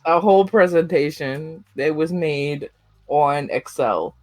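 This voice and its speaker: A woman's voice: deep voice, takes it slowly, darkish tone, dry recording, faint music behind. A young woman co-hosting a podcast, chatting casually, rambling with lots of fillers and giggles. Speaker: podcast co-host. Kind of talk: casual chat.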